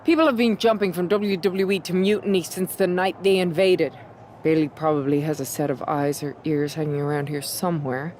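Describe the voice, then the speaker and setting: A woman's voice: deep voice, a little fast, darkish tone, a little hiss or hum, natural voice. A woman playing a determined, sly Irish pro wrestler in a video game story scene. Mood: sly, confident.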